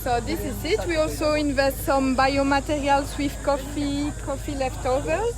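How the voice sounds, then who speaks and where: A woman from Belgium explaining a design project she worked on, pausing often with fillers and restarts. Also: high-pitched, fairly even intonation, low-quality recording. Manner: halting, many fillers and restarts. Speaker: design challenge participant.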